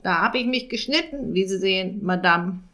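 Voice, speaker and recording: A woman voicing a gloomy, complaining male raven with a croaking, rasping voice in a storybook reading. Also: mid-range voice, lively intonation, moderate pace, sharp tone. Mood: flustered. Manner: whining, long-winded complaining.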